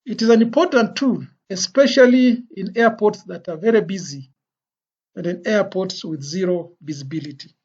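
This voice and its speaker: An instructor giving an explanatory lecture in a deliberate, phrase-by-phrase way with pauses. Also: mid-range voice, darkish tone, breathy edge. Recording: dull, lo-fi voice recording.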